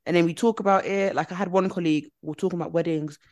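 A woman's voice: fairly low pitch, fairly even intonation, rapid-fire, darkish tone. A young woman in casual podcast chat, talking with lots of "like" fillers and acting out what people say. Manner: chatty, many "like" fillers, acts out quoted people.

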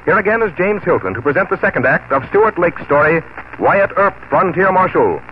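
A man delivering scripted 1940s radio announcements in a polished, measured, emphatic way. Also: sits high, lively intonation, phone-quality sound. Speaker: announcer and sponsor spokesman. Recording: thin, noisy 1940s radio broadcast.

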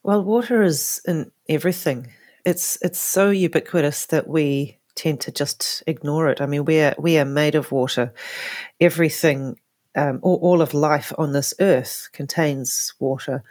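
A woman, a guest answering interview questions thoughtfully, with frequent pauses and restarts. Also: low-pitched, a little mellow, dry recording, studio quality. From New Zealand. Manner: thoughtful, many restarts and fillers. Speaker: guest, author and poet. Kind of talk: hesitant conversational interview.

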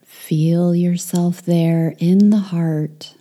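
Slow, soft-spoken woman guiding meditation. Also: lowish voice, fairly even intonation. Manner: soft, slow and soothing, with whispered phrases. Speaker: meditation guide. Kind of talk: guided meditation.

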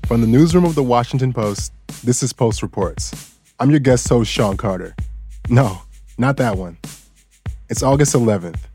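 A man hosting a news podcast, narrating a personal story in a relaxed, lightly joking way. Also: medium pitch. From Bangkok, Thailand. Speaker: guest host and audio engineer.

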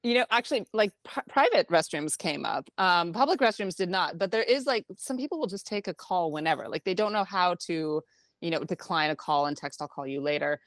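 Woman, tech reporter guest, chatty and wry, lowish voice, dry sound. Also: lively intonation, a little fast, a bit bright, dry recording. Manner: chatty, wry, laughs easily. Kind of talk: radio interview.

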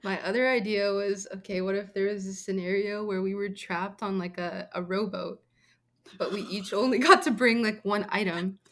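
A woman's voice: medium pitch, steady tone, normal speed. A young woman co-hosting a podcast, rambling playfully through a silly idea in casual chat. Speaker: podcast co-host.

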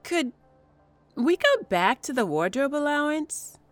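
An ambitious female newcomer in an audio drama, polite on the surface and pointed underneath. Her pitch moves around a lot, and the recording is close and dry. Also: big pitch swings, a little slow, no echo, soft background music. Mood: falsely polite. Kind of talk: scripted audio drama acting.

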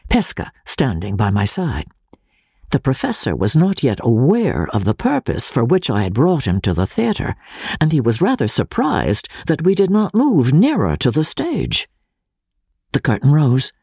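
A narrator giving a dramatic, theatrical reading of classic fiction in measured phrases. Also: mid-range voice, darkish tone, slightly rough, no room sound, studio quality. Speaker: audiobook narrator. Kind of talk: dramatic scripted literary narration.

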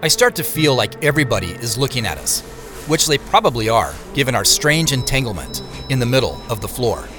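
A man's voice: mid-range voice, big pitch swings, brisk, over music, lightly acted. A man acting in an audio drama as a wary, uneasy protagonist who also narrates the story. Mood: uneasy narrating.

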